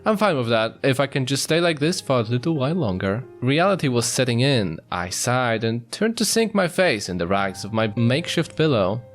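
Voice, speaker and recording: A man narrates a visual novel in a very animated, up-and-down delivery over background music. He reads the story casually and slips into jokey, mock-apologetic asides. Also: mid-range voice, normal speed, few pauses. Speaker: host reading a visual novel aloud for a video. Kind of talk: casual let's-play narration, reading story text aloud with joking asides. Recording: music behind the voice.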